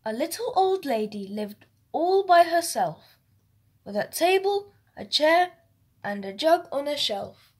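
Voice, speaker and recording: Slow, high-pitched woman storyteller. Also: very animated. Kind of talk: expressive rhyming children's story narration.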